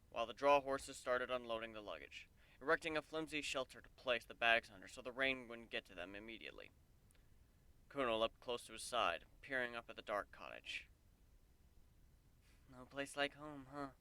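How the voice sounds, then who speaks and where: A man's voice: medium pitch, pushed and bright, clean tone, no echo. A man reading a story aloud as narrator, in the style of a dramatic audiobook. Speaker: narrator, fan fiction reader.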